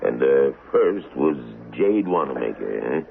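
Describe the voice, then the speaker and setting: Frontier lawman, slow and swooping in pitch, firm, folksy, for old-time radio drama. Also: very animated, creaky, exaggerated voice. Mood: regretful, puzzled. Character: stern, reluctant Old West sheriff. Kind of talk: 1970s radio drama acting. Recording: dull, noisy vintage radio recording.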